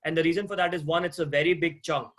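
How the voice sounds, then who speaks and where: A man's voice: a little high, fairly even intonation, fast. A man in his thirties with a non-native English accent, lecturing a class over a video call, talking conversationally, asking questions and restarting phrases. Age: 30s. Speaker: guest lecturer, mortgage agent.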